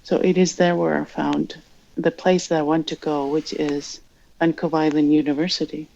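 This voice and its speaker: A woman from Greenland whose first language is Greenlandic, speaking English slowly and thoughtfully, searching for words as an interview guest. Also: low-pitched, little pitch movement, vocal fry, dark tone. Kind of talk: reflective interview answers. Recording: dull lo-fi sound.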